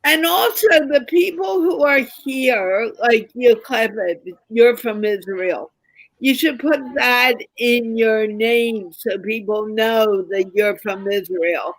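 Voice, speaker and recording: A woman hosting an online group call, slowly guiding participants. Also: fairly high pitch, no echo, low-quality recording. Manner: slow, directing participants. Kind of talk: casual group video call.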